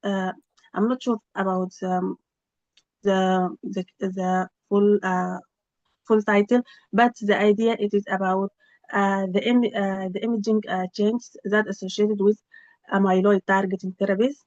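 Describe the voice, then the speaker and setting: A woman researcher giving a spontaneous project update over a video call, halting with many fillers and restarts. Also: mid-range voice, little pitch movement, a little mellow, dry recording. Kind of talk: spontaneous meeting report. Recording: slightly dull, dry video-call audio.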